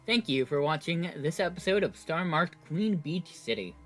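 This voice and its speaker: A man's voice: fairly high pitch, lively intonation, moderate pace, a bit bright. Man reading podcast outro over music. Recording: music behind.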